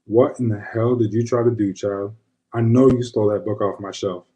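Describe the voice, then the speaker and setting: Man voicing bossy, mellow old witch grandma. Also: fairly low pitch, steady tone, normal speed, natural voice, low-quality recording. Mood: scolding. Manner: folksy, commanding. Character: no-nonsense older witch grandmother, bossy but loving.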